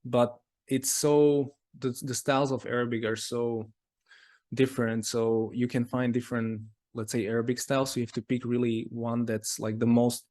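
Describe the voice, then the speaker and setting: Brief: male interview guest, mid-pitched, casual and rambling, leans on "like" constantly. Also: level tone, moderate pace, a little mellow. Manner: rambling, heavy use of "like". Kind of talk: casual conversation.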